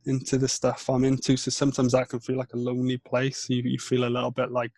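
A man's voice: mid-range voice, completely flat delivery, darkish tone, no room sound. A Welsh man in his thirties, a podcast guest talking in a reflective way with many restarts. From Wales. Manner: reflective, halting with frequent restarts. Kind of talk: casual interview chat.

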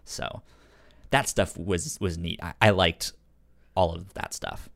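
A man co-hosting a casual, informal comic book discussion, halting and stuttering as he repeats sounds and syllables. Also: sits low, vocal fry, dry recording, clean studio sound. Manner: loose and informal, halting delivery. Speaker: podcast co-host.

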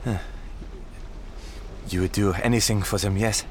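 An actor playing a troubled, tender father in an audio drama, speaking in a hesitant, halting way. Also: fairly low pitch, steady tone, a little hiss or hum. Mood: anguished. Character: troubled, tender father emigrating with his young children.

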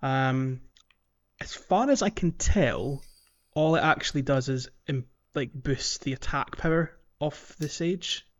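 A man's voice: fairly high pitch, a little slow. Guy hosting a casual gaming podcast, kinda rambly and hesitant, bit muffled sounding. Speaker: podcast host. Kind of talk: casual video game discussion.

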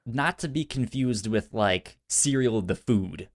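A man's voice: fairly low pitch, slow pace. A man co-hosting a podcast, chatting casually with stammered, repeated starts. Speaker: podcast co-host.

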